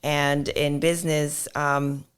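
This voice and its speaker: A woman explaining accounting on a podcast, patiently walking through step-by-step examples with many fillers, her voice slightly hoarse. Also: low-pitched, level tone, takes it slowly. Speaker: accountant guest.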